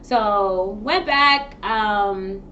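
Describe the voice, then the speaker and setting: A woman's voice: medium pitch, drawn-out words. A woman from New York whose first language is Haitian Creole, telling her story in a casual interview with lots of laughter. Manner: lively, laughs often, animated storyteller. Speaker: guest, makeup artist. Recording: distant mic, dull sound, room noise.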